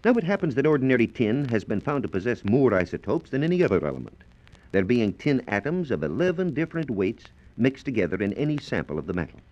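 A man's voice: mid-range voice, big pitch swings, quick pace, a little mellow. A man with an American accent presenting a formal, carefully phrased scripted science lecture in 1940s radio style. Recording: dull lo-fi 1940s radio transcription disc.

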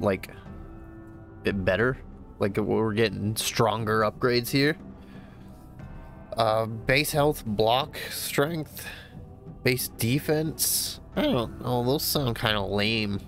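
Male gamer, stuffy nose, low gravelly voice, casual think-aloud commentary over faint game music. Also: slow, long pauses, a bit bright. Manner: thinking aloud, easygoing, upbeat exclamations. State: stuffy, congested voice. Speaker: gamer playing a new game. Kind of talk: casual let's-play commentary.

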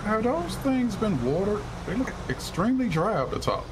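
Man playing a lively know-it-all deputy. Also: sits high, normal speed, a loud crowd. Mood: advising. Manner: repeats his phrases. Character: advice-giving male deputy oddly expert on growing weed. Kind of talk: improvised online game roleplay. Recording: clear street and outdoor noise.

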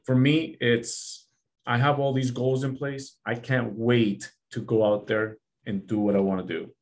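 A man's voice: mid-range voice, level tone, unhurried. A man in his forties hosting a casual podcast chat, earnest and repeating himself for emphasis. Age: 40s. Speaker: podcast host. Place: small office room, video call.

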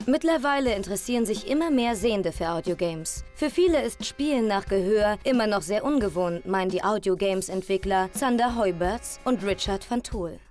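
Sprecherin eines Magazinbeitrags über lauter Musik. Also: female voice, mid-range voice, lively intonation, moderate pace, hardly any pauses. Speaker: reporter voice-over. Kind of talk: scripted magazine report narration. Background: loud music.

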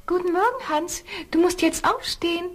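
A woman's voice: extremely high pitch, normal speed, a bit bright, soft background music. A woman voicing a tiny, friendly servant kitten that meows, in a fairy-tale radio play. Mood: cheery.

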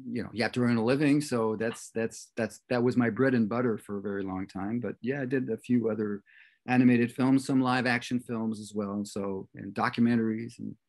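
Guy, middle aged, chatting on a video call, feels his way through sentences, average pitch. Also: steady tone, brisk, darkish tone. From Canada. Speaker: film composer being interviewed. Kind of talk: casual interview chat. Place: indoor room on a video call.

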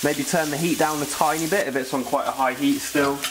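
A man's voice: a little high, steady tone, a little fast, noisy background. A British man in his twenties hosting a casual cooking tutorial in an informal, enthusiastic way from a home kitchen. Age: 20s. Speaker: cooking video host.

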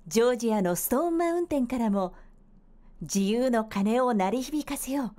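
Female radio DJ for scripted narration; relaxed, mellow timbre, slightly high register. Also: moderate pace. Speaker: radio DJ and voice-over artist.

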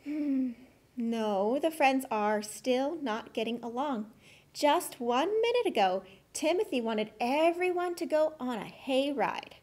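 A woman's voice: high voice, lively intonation, slow, a little mellow, exaggerated voice. A woman voicing a dutiful female collie watchdog puppet, earnest and matter-of-fact, in a children's puppet show.

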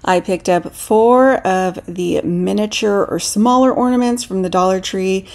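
A woman's voice: medium pitch, measured pace, a little mellow. A middle-aged woman narrating a do-it-yourself decorating tutorial as a voice-over, explaining each step in a friendly, instructive way. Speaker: DIY craft channel host.

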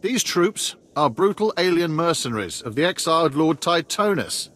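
An actor playing a composed army major in a video game, giving a precise, formal briefing. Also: male voice, on the high side, big pitch swings, normal speed, a bit bright. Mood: formal, serious.

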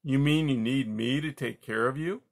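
A man's voice: mid-range voice, moderate pace, creaky. A man acts out a defiant young mouse son in a children's storybook. He talks in curt, slangy bursts. His temper is surly and rude. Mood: impatient.

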